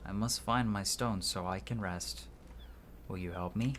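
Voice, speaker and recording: A man's voice: low voice, measured pace, fairly bright tone, a little hiss or hum. A man voicing a lost, grieving married man in a video game, mournful and earnest. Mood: earnest, pleading.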